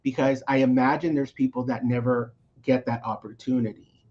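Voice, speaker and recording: A man's voice: medium pitch, normal speed, muffled recording. A man from Mexico who was raised in Texas, a coach talking animatedly in a casual interview and often checking in with "right?". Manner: animated, keeps adding tag questions. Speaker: coach, podcast guest.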